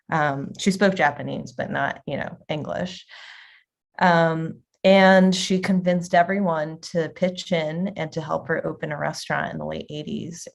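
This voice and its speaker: Low-voiced lady w a gravelly edge, rambling w lots of ums. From Boston area, USA. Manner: rambling, many fillers and restarts. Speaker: restaurant owner, guest. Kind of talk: casual interview conversation.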